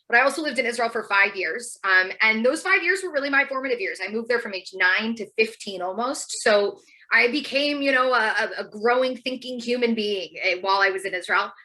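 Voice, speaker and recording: A woman's voice: on the high side, bright, forceful tone, low-quality recording. An American woman in her thirties giving an informal personal talk as a guest speaker, telling her story with emphatic asides. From United States. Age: 30s.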